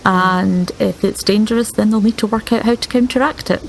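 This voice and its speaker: A woman with a gravelly, mid-pitched voice plays a tabletop game, thinking aloud with hesitations. Faint noise runs behind her. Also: fairly quick, rough recording. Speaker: player. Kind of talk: casual tabletop play.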